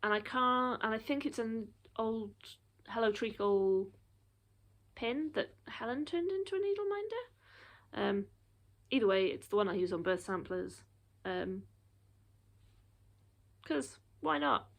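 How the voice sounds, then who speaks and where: A woman's voice: a little high, lively intonation, measured pace, creaky. A woman in her thirties chatting casually through a show-and-tell of her cross stitch projects, rambling with frequent ums and a little out of breath.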